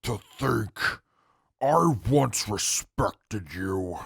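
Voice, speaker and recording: Haughty, scornful convict played by a man, extremely gravelly, slow and formal, for a wasteland audio drama. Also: on the low side, animated, no echo, studio quality, heavily acted. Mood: scornful, blunt. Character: stern, haughty male prisoner, proud and scornful. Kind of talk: post-apocalyptic audio drama acting.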